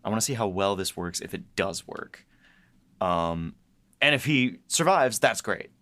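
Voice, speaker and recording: A man's voice: fairly low pitch, animated, measured pace, fairly bright tone. A man co-hosting a casual behind-the-scenes writers' chat, talking informally and jokily, often acting out his own thoughts. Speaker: podcast host and audio drama writer.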